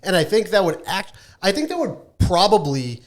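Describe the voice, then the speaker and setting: A man's voice: high voice, big pitch swings, moderate pace. A man co-hosting a podcast, explaining his opinions in casual conversation. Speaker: podcast co-host.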